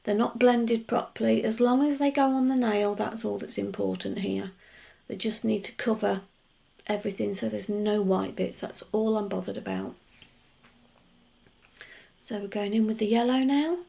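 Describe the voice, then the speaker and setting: A middle-aged woman chats through her nail art steps, wandering off into asides as she goes. Her voice is gruff and full of gravelly fry because she has only just got up. Her pitch sits in the middle. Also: steady tone, normal speed, mellow. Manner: chatty, rambling explanations with many asides. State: just woken up. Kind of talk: casual tutorial voice-over.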